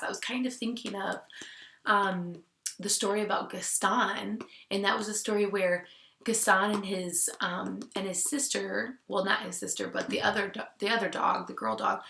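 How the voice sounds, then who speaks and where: A woman teacher reading a picture book aloud to children, expressively, with hushed asides. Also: medium pitch, a little hiss or hum. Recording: roomy, echoing sound.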